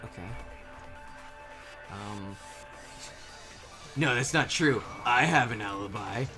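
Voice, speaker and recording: A man's voice: on the low side, slow. A man playing and dubbing a video game in casual group banter, switching between chat and read lines. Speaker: player and fan dubber of a video game. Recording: music playing behind the voice. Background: game music.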